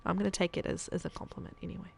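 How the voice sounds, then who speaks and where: Woman streamer, lowish gravelly voice, chatty thinking-aloud commentary. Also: little pitch movement, very fast. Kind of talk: casual gameplay commentary.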